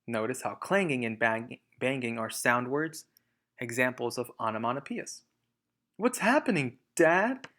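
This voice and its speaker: A man's voice: mid-range voice, moderate pace, pushed and bright. A man reading a storybook aloud as a teacher, emphatic in narration and explanatory in asides.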